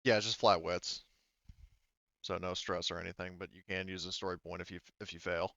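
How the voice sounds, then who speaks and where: A man's voice: low voice, level tone, a little fast, vocal fry, muffled recording, very noisy. A man talking casually as game master of a tabletop roleplaying game, explaining rules as he goes.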